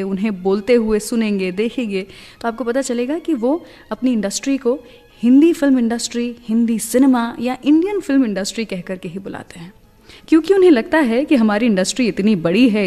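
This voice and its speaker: Female radio host, warm and relaxed, narrates anecdotes conversationally; reverberant mix over soft music. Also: fairly high pitch. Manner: chatty storytelling, mixes in English words.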